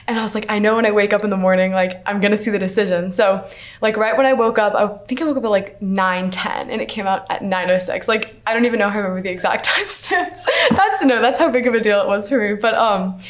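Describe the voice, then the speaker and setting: Fast-talking young woman telling a story. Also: mid-range voice. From Maryland, US. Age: around 20. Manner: chatty, peppered with "like". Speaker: scholarship student. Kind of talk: casual personal storytelling.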